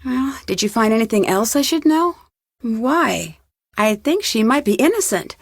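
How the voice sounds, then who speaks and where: A young American woman voicing a polite, inquisitive amateur detective in a scripted adventure game, composed and clearly spoken. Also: fairly high pitch, sing-song, moderate pace, no room sound, studio quality. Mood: curious, composed. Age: young adult.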